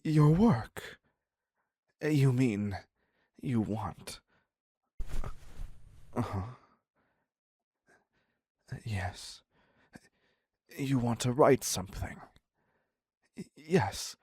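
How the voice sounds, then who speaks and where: A man playing an obsessive, needy captor devoted to his captive in an intimate dark romance roleplay, husky and halting, often dropping into a whisper. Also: medium pitch, animated, dry recording, clean studio sound, exaggerated voice. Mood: eager, devoted. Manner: halting, pleading, very slow with long pauses.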